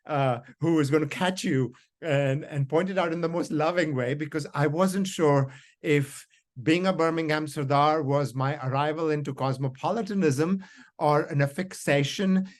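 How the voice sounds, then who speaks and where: Middle-aged man from India, Hindi first language, fairly high voice, reflective storytelling academic. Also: moderate pace. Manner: reflective, storytelling, frequent fillers. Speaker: panel discussant. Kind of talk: academic panel remarks.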